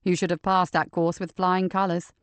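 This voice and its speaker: A woman's voice: lowish voice, level tone, normal speed, smooth, no echo, natural voice. A woman voicing a female police inspector, firm but coaxing, in an audiobook. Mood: shaken. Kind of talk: audiobook character voice.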